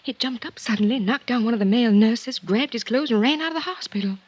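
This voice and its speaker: Woman acting a exasperated nurse, high husky voice, hushed, brusque, vintage radio play, dull recording. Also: fast, close to an everyday voice. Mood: exasperated, urgent. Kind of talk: 1950s radio drama acting.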